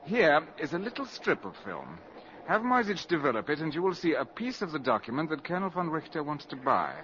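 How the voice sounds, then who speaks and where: An actor playing a suave, self-assured valet turned spy in a 1950s radio drama, sardonic and theatrically polite. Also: male voice, fairly high pitch, big pitch swings, fairly quick, a bit bright, a little hiss or hum. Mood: cool bargaining. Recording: dull old 1950s radio recording.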